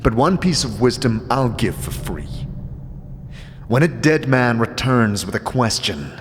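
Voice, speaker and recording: An actor playing a grave, guarded undead wanderer in a fantasy audio drama, with a terse, skeptical manner. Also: male voice, medium pitch, big pitch swings, a little slow. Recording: long reverb, clearly noisy ambience behind.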